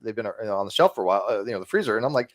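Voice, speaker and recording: Man, streamer host, rambling casual chat, blunt, quick talker, lively pitch. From California. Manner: rambling, blunt, swears freely.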